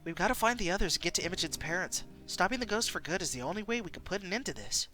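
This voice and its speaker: A narrator as a grave, resolute adventurer, bright-voiced. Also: medium pitch, expressive, rapid-fire, faint music behind.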